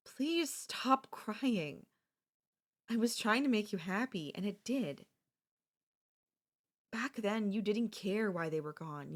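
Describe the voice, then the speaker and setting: Young woman doing obsessive possessive childhood friend, ASMR roleplay, soft and sweetly menacing, drifts into whispers, lowish voice. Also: close to an everyday voice. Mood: pleading.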